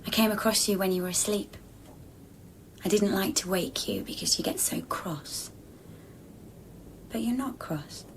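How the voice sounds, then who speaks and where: An actress in a radio drama playing a forgetful, clinging apparition of a man's lover, hushed and childlike, asking short, searching questions. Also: mid-range voice, expressive, a little slow, pauses often, whispered, lightly acted. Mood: soft, puzzled. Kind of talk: intimate radio drama acting.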